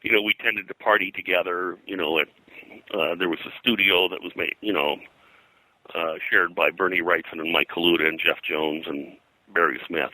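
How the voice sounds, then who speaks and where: A man's voice: sits low, steady tone, normal speed, pushed and bright, no room sound, low-quality recording. An older man telling rambling anecdotes as an interview guest, drifting into digressions and lists of names. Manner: digressive, name-dropping storyteller. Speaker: pop culture historian and guest.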